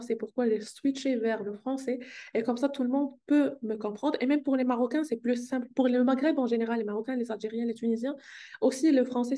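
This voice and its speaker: Woman, Arabic, mellow higher voice, earnest motivational vlogger. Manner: earnest, upbeat, repeats phrases for emphasis. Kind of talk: casual motivational vlog talk. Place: hotel meeting room.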